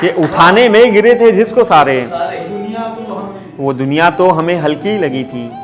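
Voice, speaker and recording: Male poet, slightly high voice, declaiming ghazal couplets live, repeating lines with growing force, clear instrumental backing. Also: expressive, measured pace, loud music behind the voice, a loud crowd. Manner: declaiming, repeats each couplet with rising emphasis. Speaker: poet at an open mic. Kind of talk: ghazal recitation. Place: open mic stage in Mumbai. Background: musical instrument playing along.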